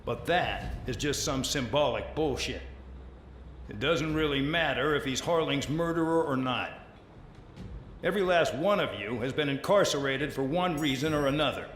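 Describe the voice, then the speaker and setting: A man's voice: medium pitch, normal speed, fairly bright tone, close to an everyday voice. A man playing a condescending, commanding officer who lectures convict pilots in a scripted game cutscene. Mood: scornful, commanding. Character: gruff, scornful male officer lecturing convict pilots at military base. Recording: echoey address with faint background noise.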